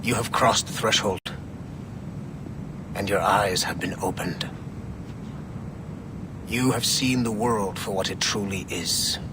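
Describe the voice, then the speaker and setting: A man's voice: slow pace, long pauses. An actor playing an imposing, cryptic male secret-order executive in a video game, speaking formally and portentously. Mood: cool menace. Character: imposing, cryptic male corporate Templar leader, coolly menacing. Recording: clear low rumbling background noise.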